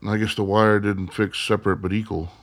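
A man's voice: on the low side, flat delivery, brisk, no echo, close to an everyday voice. An actor in a radio play voicing a wary younger man with a dry, questioning manner. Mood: wry.

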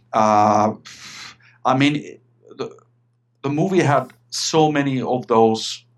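A middle-aged man from Finland with a Finnish accent, talking eagerly as an interview guest and often repeating words as he restarts. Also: medium pitch. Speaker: guest, writer and filmmaker. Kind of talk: casual interview chat.

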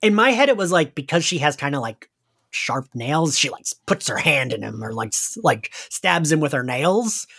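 Casual, chatty podcast host, medium pitch. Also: sing-song, moderate pace, vocal fry, a bit bright, breathy edge. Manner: conversational, heavy use of "like".

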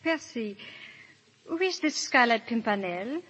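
A woman's voice: on the high side, expressive, measured pace, a bit bright. An actress playing a proud, anxious aristocratic lady in a 1930s radio drama, formal and earnest in a theatrical way. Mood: guarded. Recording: dull, noisy 1930s radio recording.

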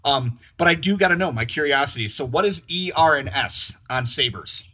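A man's voice: on the high side, lively intonation, moderate pace, bright, forceful tone, slightly rough. A man hosting a casual interview, talking in a rambling way with many restarts and laughs. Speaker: podcast host.

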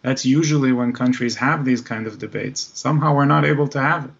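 A man's voice: mid-range voice, a little fast, gravelly, muffled recording. A man from Israel in a thoughtful conversation, talking like an academic and often searching for words.

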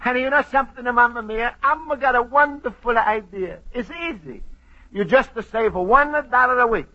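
An actor playing a naive, excitable Italian immigrant who speaks broken English with a heavy Italian accent, stammering in an old-time radio comedy. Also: male voice, very high voice, normal speed, highs cut off. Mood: wistful.

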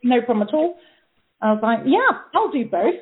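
A woman opera singer from England and Austria, telling stories in a lively, laughing, chatty way as a guest on a talk show. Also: fairly high pitch, moderate pace. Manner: chatty, laughing, animated storyteller. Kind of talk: casual chat. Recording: tinny phone line.

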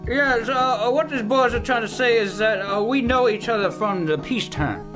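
A man acting a pompous, folksy older general who runs a pizza place, halting and self-important, in a comic radio play. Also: very high-pitched, low-quality recording. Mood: folksy, flustered. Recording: music under the dialogue.

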